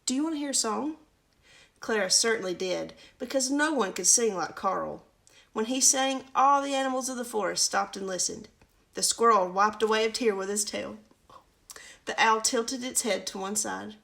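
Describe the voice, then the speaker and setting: Female storyteller for a children's book live stream, medium pitch, informal and chatty. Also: creaky, a bit bright. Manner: chatty asides to viewers. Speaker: read-aloud storyteller.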